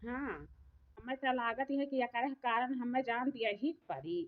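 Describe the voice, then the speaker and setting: A woman's voice: fairly high pitch, moderate pace, lightly acted. A woman voicing an aggrieved, vain old village mother-in-law in a comic cartoon, complaining with an Awadhi accent. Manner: complaining, lamenting. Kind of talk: rustic comic cartoon dialogue. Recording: muffled, phone-like narrow sound.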